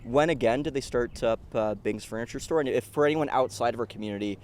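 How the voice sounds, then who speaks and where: A man's voice: mid-range voice, fast talker, a little mellow, smooth. A man hosting a casual podcast interview, asking questions in a friendly, encouraging way. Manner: friendly, affirming. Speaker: podcast host.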